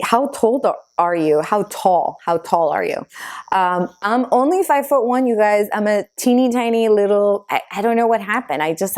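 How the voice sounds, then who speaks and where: A woman's voice: mid-range voice, darkish tone. A woman in her thirties from Argentina whose first language is Spanish, chatting casually as she answers her own get-to-know-me questions, with many fillers. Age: 30s. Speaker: YouTuber.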